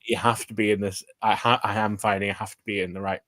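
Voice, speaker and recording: A man's voice: on the low side, little pitch movement, quick pace, fairly bright tone, no echo. A man chatting casually about books as a livestream guest, meandering and hesitant, often saying "like". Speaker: guest co-streamer.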